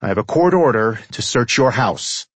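Man putting on a high, timid police chief. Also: big pitch swings, normal speed, husky, no echo. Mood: strained official warning. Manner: hesitant, anxious. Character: timid, nervous, charmless male police chief.

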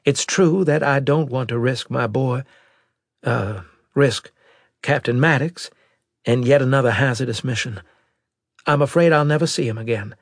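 A higher-pitched, husky voice for a worried but resolute female brigadier , acted out by a male audiobook narrator. The speech is formal and emphatic, full of earnest loyalty, and sounds dry and close. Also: normal speed, long pauses, dry recording, close to an everyday voice. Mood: earnest, urgent. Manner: formal, emphatic military address. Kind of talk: audiobook character dialogue.

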